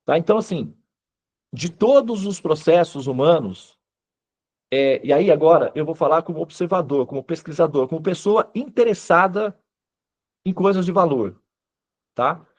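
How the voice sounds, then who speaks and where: A man's voice: on the high side, lively intonation, moderate pace, long pauses, darkish tone. A Brazilian man speaking Brazilian Portuguese as a spiritual teacher, explaining in an informal lecture style and often checking in with short tag questions.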